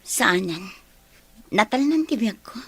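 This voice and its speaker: A sorrowful young girlfriend in an Ilocano Filipino radio drama, acted by a woman. Her voice is husky and medium in pitch, with a resigned manner. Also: animated, slow pace, exaggerated voice. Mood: cold, hurt.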